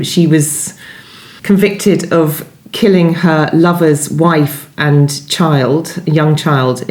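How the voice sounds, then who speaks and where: A woman with a deep voice talks as an interviewed novelist. She wanders through her answers, pausing and rewording. The room adds a clear echo. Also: darkish tone. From London, England. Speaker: guest novelist being interviewed. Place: indoors at home. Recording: echoey room.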